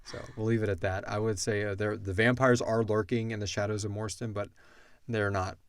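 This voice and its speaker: A man's voice: fairly low pitch, little pitch movement, brisk, smooth. A man co-hosting a casual question-and-answer podcast about an audio drama, informal and slangy as he thinks aloud.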